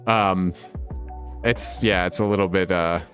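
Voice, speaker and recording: A man in his thirties hosts a relaxed livestream, his low voice carrying a slight rasp. He rambles and laughs his way through viewer comments, and soft music plays faintly behind him. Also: measured pace. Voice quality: rough smoker's voice. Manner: laid-back and rambling, laughs often. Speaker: livestream host. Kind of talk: casual livestream chat reading viewer comments.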